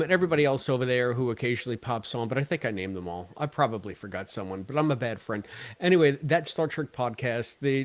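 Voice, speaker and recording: A man co-hosting a casual podcast discussion, talking in a wordy, halting way and repeating phrases as he goes. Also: medium pitch, dry recording. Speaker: podcast co-host.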